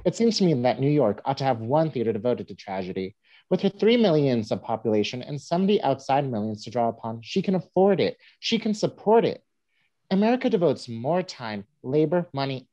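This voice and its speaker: A woman's voice: deep voice, dark tone. A woman playing a young girl who mimics a pompous newspaperman, reading aloud in a lofty, declamatory way. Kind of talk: staged play reading, oratory. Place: home room, video call. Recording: muffled video-call audio.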